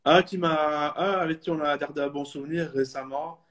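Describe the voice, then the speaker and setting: A man's voice: fairly high pitch, fairly even intonation, normal speed, fairly bright tone. A man in his thirties from Belgium, talking casually as a guest in an online interview, hesitant with many fillers and restarts. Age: 30s. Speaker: supporters club guest. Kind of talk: casual video call interview.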